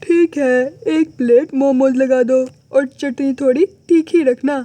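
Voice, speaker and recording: A woman's voice: high-pitched, fairly even intonation, measured pace, warm tone, no echo. A woman voicing a rude, demanding, complaining man, a bossy customer in a cartoon moral story. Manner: scornful, bossy.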